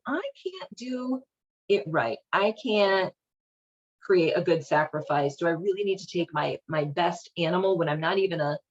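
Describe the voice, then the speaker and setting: A woman giving casual, irreverent commentary as a host, with a sardonic, halting, colloquial delivery. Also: medium pitch, highs cut off. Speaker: host of a video series on leaving religion.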